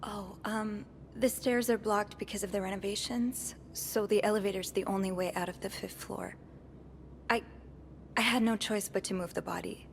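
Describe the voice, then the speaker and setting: A woman's voice: mid-range voice, steady tone, moderate pace, creaky, slight background noise, lightly acted. A woman playing a nervous young hotel maid. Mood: hesitant. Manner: hesitant, stammering. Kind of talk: video game voice acting.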